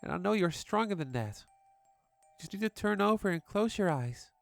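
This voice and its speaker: Woman as gentle mother with lilting voice. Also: mid-range voice, animated, normal speed, vocal fry, no room sound, faint music behind. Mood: soothing. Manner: soothing, earnest. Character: gentle, reassuring mother soothing her young daughter. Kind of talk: dramatised horror story reading.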